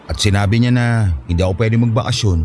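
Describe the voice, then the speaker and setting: A man's voice: on the low side, quick pace, a little mellow, faint music behind, natural voice. A man playing a Filipino ship worker who misses his family, speaking sincerely and emotively in an audio drama. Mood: dejected. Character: downhearted, grateful male Filipino ship worker missing family.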